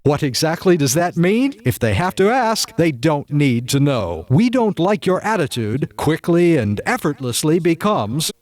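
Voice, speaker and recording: Guy doing slick salesy radio announcer, highish voice, really lively, spoof ad. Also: measured pace, hardly any pauses. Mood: slick, selling. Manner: salesmanlike, emphatic. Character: eager, slick male radio commercial announcer. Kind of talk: parody radio commercial announcing.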